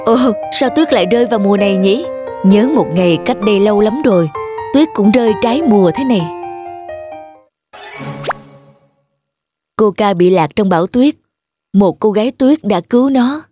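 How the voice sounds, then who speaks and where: A woman's voice: medium pitch, lively intonation, brisk, natural voice. A woman voicing a devoted, kind-hearted young male shepherd in an earnest, emotional fairy-tale cartoon style. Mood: warm. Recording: music behind the voice.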